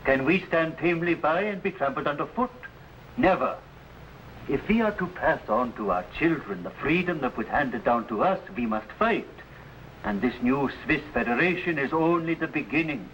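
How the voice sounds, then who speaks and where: A man's voice: on the high side, expressive, moderate pace, a bit bright. An actor playing a proud, resolute Swiss father in an old-time adventure serial, speaking in solemn, archaic formal language. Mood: defiant. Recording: noisy vintage broadcast recording.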